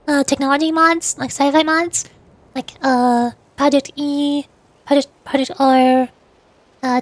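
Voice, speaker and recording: A woman's voice: sits high, no echo. A woman livestreamer chatting casually, a little hesitant and correcting herself as she goes. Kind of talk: casual livestream chat, reading out chat messages.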